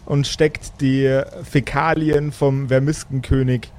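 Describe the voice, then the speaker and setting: A man narrating an improvised fantasy tabletop game as game master, in a measured, searching way. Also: medium pitch, level tone, darkish tone.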